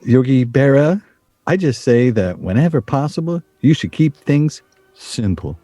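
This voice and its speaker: A man's voice: mid-range voice, expressive, unhurried, dark tone, no room sound, faint music behind. An actor in a radio play playing a stubborn, plain-spoken man nearing fifty with an American accent. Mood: matter-of-fact. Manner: plain, matter-of-fact.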